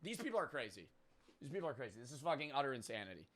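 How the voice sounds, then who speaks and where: A man reacting to a video with excitable, joking commentary, swearing as he riffs. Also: mid-range voice, racing. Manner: excitable, swearing, riffing jokes.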